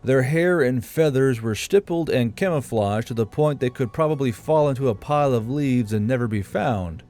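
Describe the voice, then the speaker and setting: A man's voice: mid-range voice, animated, normal speed. A man reading a scripted fantasy story aloud as an audiobook narrator. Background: soft background music.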